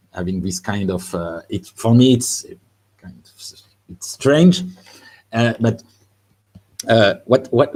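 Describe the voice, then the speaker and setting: A man moderating a panel discussion, speaking haltingly with many "uh"s and repeated words. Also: fairly low pitch, a little mellow.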